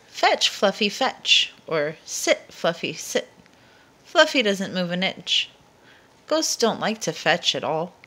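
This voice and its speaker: A woman reading a children's picture book aloud as a storyteller, with expressive, deliberate narration and dramatic emphasis. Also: mid-range voice.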